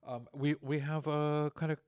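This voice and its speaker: A man's voice: on the high side, little pitch movement, moderate pace, a little mellow, smooth, no room sound. Texan guy hosting local radio, rambly, lots of um and restarts, dry close sound. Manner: rambling, many restarts and fillers. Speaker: radio show host. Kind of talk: casual radio talk show chat. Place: radio studio.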